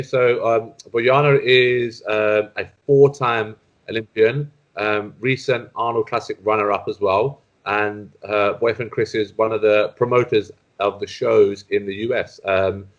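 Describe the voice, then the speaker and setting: A man's voice: fairly low pitch, steady tone, unhurried. Hesitant man hosting a podcast. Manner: hesitant, many ums and self-corrections. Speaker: podcast host. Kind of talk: casual interview intro. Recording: dull lo-fi sound.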